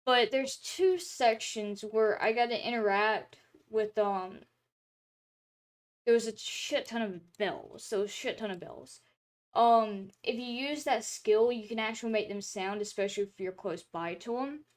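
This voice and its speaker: A young woman streamer in casual chat, rambling with many fillers and restarts, her throat a little dry and hoarse, talking from a room at home. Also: on the high side, a little slow. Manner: rambling, chatty, lots of filler words and restarts. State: throat drying, slightly hoarse.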